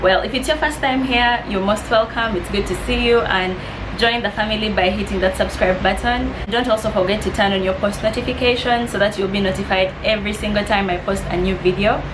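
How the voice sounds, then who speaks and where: A woman's voice: medium pitch, a little fast. Young woman in her twenties, friendly and a bit nervous, casual vlog, noise in the background. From East Africa. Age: 20s. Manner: friendly, slightly nervous, reading questions aloud with soft laughs. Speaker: vlogger.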